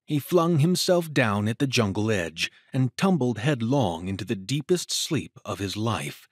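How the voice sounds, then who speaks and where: A man narrating a dramatic story from a script in a measured, literary and expressive manner. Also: mid-range voice, husky, no room sound, clean studio sound.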